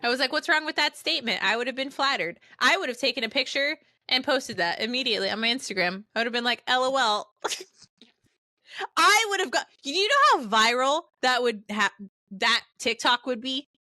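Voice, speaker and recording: Bright, playful woman in her late twenties. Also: sits high, expressive, brisk, dry recording, clean studio sound. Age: late 20s. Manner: playful, blunt, joking. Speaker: podcast co-host. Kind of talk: casual chat.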